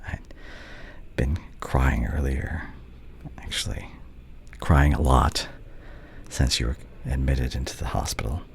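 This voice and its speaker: A man playing a devoted, regretful doctor and anxious ex-boyfriend in an intimate ASMR audio roleplay, speaking haltingly and tenderly. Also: a bass voice, steady tone, gravelly, exaggerated voice. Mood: anguished, confessional.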